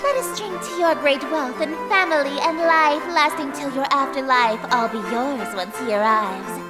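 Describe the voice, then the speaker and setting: A woman's voice: sits high, normal speed, loud music behind the voice, lightly acted. A woman voicing a haughty, theatrical eldritch goddess girl in a game, coy and menacing with pompous, archaic flourishes. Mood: grandly ceremonial. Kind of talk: scripted visual novel voice acting.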